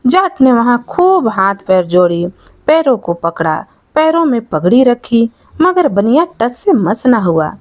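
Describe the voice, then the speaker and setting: A woman narrating a scripted moral folk tale as a storyteller in an expressive, dramatic manner. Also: a little high, unhurried, no room sound.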